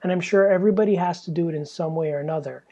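A young man talks into a podcast mic in a small, stuffy room, his voice worn hoarse and husky from fatigue. His tone is dark and mellow, and he rambles along in a loose, candid way, swearing freely as he goes. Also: on the high side, rapid-fire, lo-fi sound. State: tired and hoarse, losing his voice. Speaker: podcast host. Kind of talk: casual solo podcast monologue.

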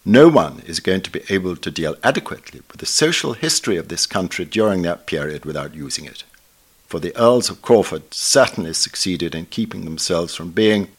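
An elderly man with a fairly low voice and an upper-class English accent reads a prepared review aloud. His delivery is formal and erudite, with deliberate emphasis on key phrases. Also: a bit bright, husky. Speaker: book reviewer. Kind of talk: scripted book review read aloud.

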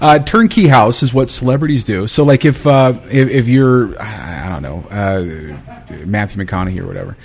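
Male podcast host, husky, loose and rambling. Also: lowish voice, normal speed. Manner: rambling, casual, swears freely. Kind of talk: casual interview chat.

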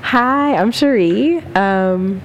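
A woman's voice: mid-range voice, animated, extremely slow, slight background noise. A woman in her twenties joking brashly about herself as a podcast guest. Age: 20s. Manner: brash, self-mocking, joking. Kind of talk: casual group chat.

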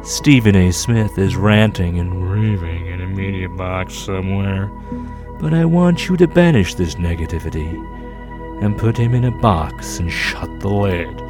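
A man voicing a soothing hypnotherapist who leads a slow, hushed guided relaxation in comic parody. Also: low voice. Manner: slow, hushed, calming guided-imagery delivery. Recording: new-age music bed behind voice. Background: soft new-age music.

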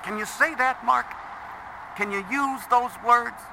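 A man acting as an impatient, profane, high-strung showbiz agent in a satirical audio drama, blunt and exasperated, on a phone call from a car. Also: slow pace, creaky, noisy background, exaggerated voice. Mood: pressing.

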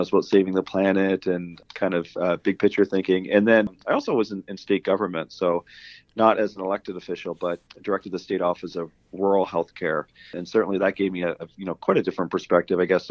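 A man's voice: low-pitched, no room sound. A middle-aged man with a Canadian accent answering interview questions conversationally, rambling with plenty of "you know" and "kind of". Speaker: guest, university pharmacy dean. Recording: dull, lo-fi voice track.

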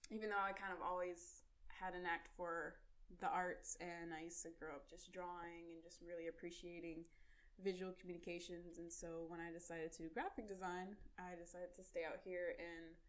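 A bubbly woman from Chicago chats as a podcast guest. Her voice sits a little low and she giggles easily. She fills her pauses with ums. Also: flat delivery, fairly bright tone, low-quality recording. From Chicago, USA. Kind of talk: casual podcast chat.

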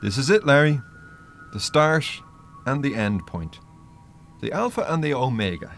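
Spirit guide, male, mid-pitched, knowing and teasing, blunt, radio drama. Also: very animated, moderate pace, vocal fry, fairly bright tone, faint music behind. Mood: solemn. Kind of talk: radio play acting.

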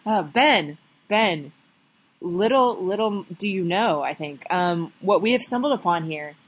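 Lowish-voiced woman co-host explaining. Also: animated, measured pace. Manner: thoughtful, explains with frequent ums and restarts. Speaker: podcast co-host. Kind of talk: casual explanatory chat.

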